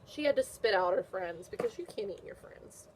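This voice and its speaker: A woman's voice: mid-range voice, animated, normal speed, background noise. A woman teacher reading a picture book aloud to young children, repeating questions and answers in an encouraging way. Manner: encouraging, praising the listener.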